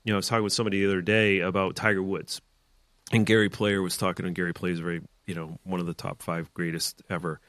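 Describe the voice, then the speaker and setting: A man's voice: low voice, flat delivery, fast, fairly bright tone, no echo, studio quality. A man hosting a real estate podcast, giving emphatic, opinionated advice in a rambling, casual way with side notes. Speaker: real estate team leader and podcast host.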